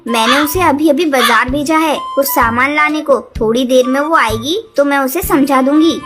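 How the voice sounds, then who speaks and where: A woman performs a protective mother bird for a cartoon story. The voice sits high, with a caring but scolding manner. Music runs clearly behind it. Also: moderate pace, close to an everyday voice. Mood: defensive. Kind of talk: Hindi cartoon story dubbing.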